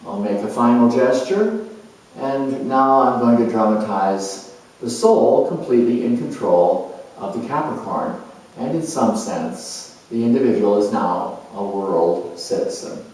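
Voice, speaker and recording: Male teacher-presenter, mid-pitched, unhurried and deliberate, explaining with pauses; very reverberant room. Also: expressive, darkish tone. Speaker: astrologer and teacher. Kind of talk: explanatory introduction to camera. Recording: distant mic in echoey room.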